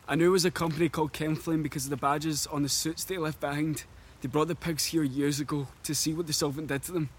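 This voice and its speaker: A man acting in a horror radio play as an agitated, tormented recluse who tends abandoned pigs, speaking urgently and haltingly. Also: a little high, fairly even intonation, slight background noise, natural voice. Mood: grim, explaining.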